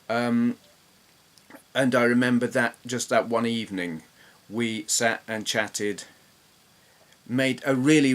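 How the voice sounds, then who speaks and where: A middle-aged man with an English accent, reminiscing casually in an informal, rambling, self-deprecating way. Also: medium pitch. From England. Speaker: host, gamer.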